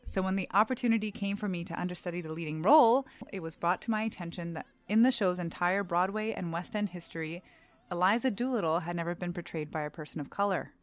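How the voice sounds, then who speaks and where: A young adult woman, a stage actor, reading a scripted personal essay aloud in a measured, earnest, clearly enunciated way. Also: fairly low pitch, dry recording.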